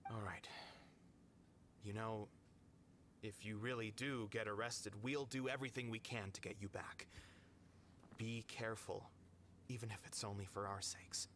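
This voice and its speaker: A man playing a caring, worried middle-aged troupe manager in a video game, grave and measured. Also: lowish voice, fairly even intonation, fairly bright tone, slight background noise. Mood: resigned, caring.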